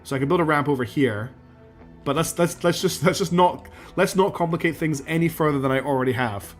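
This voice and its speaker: A man streaming a factory-building game, losing his voice and clearing his throat, talking himself through each step and answering chat. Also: on the high side, a little fast, faint music behind. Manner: talks himself through building steps, counts out loud, asks himself questions; answers chat messages as he plays. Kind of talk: live gameplay commentary, thinking aloud.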